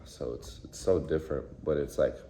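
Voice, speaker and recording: A man in his early twenties, from the United States, talking in a reflective, halting way with many repeated phrases in a casual vlog. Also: very deep voice, mellow. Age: 20s. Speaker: vlogger. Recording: echoey room with faint noise.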